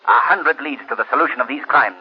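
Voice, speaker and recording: An actor playing a mysterious invisible crime fighter in 1930s radio style, calm, measured and commanding. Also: male voice, mid-range voice, lightly acted. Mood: firm, reassuring. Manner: measured, deliberate, authoritative. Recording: muffled old 1930s radio recording.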